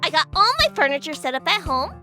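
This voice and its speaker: Very high, animated little cartoon girl. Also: quick pace, over music. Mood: earnest. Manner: perky, earnest, expressive. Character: cheerful, naive ten-year-old girl newcomer. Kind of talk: animated parody dub acting.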